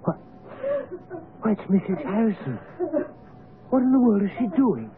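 An actor playing a brisk, imperious gentleman heir in a radio drama, blunt and commanding. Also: high voice, big pitch swings, a loud crowd. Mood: alert. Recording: tinny, noisy old radio broadcast.

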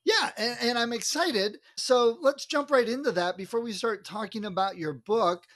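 A man's voice: very high-pitched, moderate pace, fairly bright tone. A man, hosting a podcast interview in a casual, conversational style. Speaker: podcast host.

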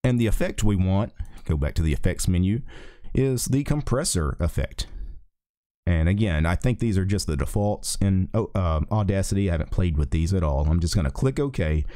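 Deep-voiced man walking through software. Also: normal speed, no echo, clean studio sound. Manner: relaxed, thinking aloud with small restarts. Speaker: tech tutorial host. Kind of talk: casual screen-recorded software tutorial. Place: small home office. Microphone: desk USB microphone.

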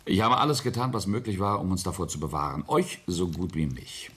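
An actor playing an arrogant middle-aged family patriarch in a radio play, deliberate and self-justifying, with a husky, gravelly voice. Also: male voice, low voice, animated, a bit bright, exaggerated voice. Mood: bitter, self-justifying. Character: arrogant, coldly defiant family patriarch confessing a murder.

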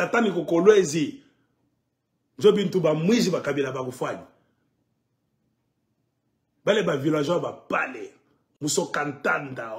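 A middle-aged man, delivering an impassioned, emphatic political monologue to camera at home. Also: on the high side. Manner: emphatic, animated, sweeping arm gestures. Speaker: political commentator. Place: living room at home, indoors.

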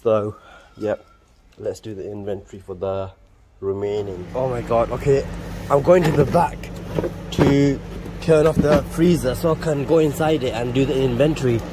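A man's voice: mid-range voice, fairly even intonation, normal speed. A young man in his twenties, a warehouse supervisor, talking to his phone as he works, rambling and muttering to himself. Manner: rambling, self-talking, swears under breath. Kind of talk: casual work vlog. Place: warehouse and outdoor yard. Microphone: handheld phone at arm's length. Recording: noisy phone audio with traffic.

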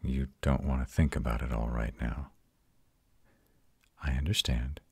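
Ancient male vampire, played by a man, intimate audio roleplay; bottomless bass, dry close sound, tender and reassuring. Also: fairly even intonation, no echo, heavily acted. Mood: tender, apologetic. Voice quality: very deep, husky. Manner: soft, intimate, slow reassurance. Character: ancient arrogant male vampire tenderly comforting his mate. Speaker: voice actor.

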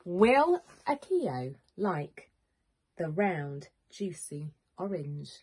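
A woman, a teacher reading a picture book to young children in an expressive, questioning manner. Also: lowish voice, very slow. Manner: expressive, questioning, clearly addressing small children. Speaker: primary school teacher. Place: small home room with bookshelves, indoors.

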